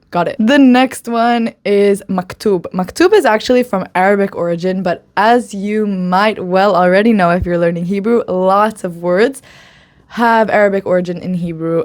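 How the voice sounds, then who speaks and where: A woman's voice: medium pitch, a little mellow. A woman giving a casual video lesson on slang, chatty and upbeat, laughing often and dropping in foreign phrases. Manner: drops in Hebrew phrases. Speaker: Hebrew slang teacher.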